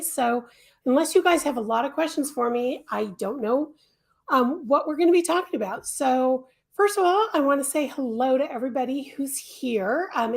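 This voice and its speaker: High-voiced woman losing her voice. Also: a little mellow. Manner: chatty, many ums and restarts. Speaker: crochet designer hosting a livestream. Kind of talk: casual livestream chat.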